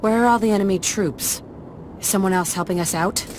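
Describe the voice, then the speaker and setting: A woman's voice: medium pitch, fairly quick, a little mellow, close to an everyday voice. A woman playing a grave, resolute warrior burdened by hidden despair in a video game cutscene. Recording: clearly noisy game audio.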